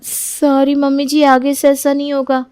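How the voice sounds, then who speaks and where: A woman doing a high, bubbly young bride. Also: monotone, measured pace, darkish tone, no room sound. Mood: sheepish. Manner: bubbly, childlike, whiny. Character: playful sleepy young bride, cheeky and lazy.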